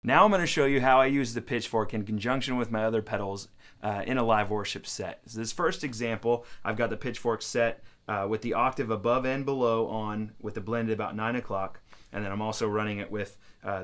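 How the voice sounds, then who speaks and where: A man's voice: fairly low pitch, fairly bright tone. A man talking casually as he demonstrates guitar gear in a tutorial.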